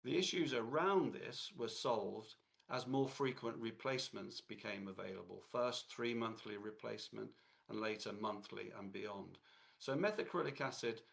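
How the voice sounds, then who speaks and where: A man with a cold, hoarse, giving a technical lecture in a halting way with many fillers and restarts. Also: fairly low pitch, fairly bright tone.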